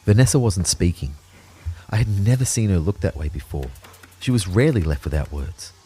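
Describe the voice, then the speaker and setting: A man's voice: low voice, expressive, a little fast, mellow, slightly rough, faint music behind. A man playing an anxious, introspective man who narrates his own story in a reflective, wry way for an audio drama. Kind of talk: first-person scripted audio drama narration.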